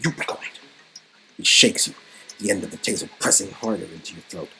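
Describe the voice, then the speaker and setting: Low-voiced man narrating, slight noise. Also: fast talker, creaky, sharp tone. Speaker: narrator and co-reader. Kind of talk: dramatic scripted narration of a story game.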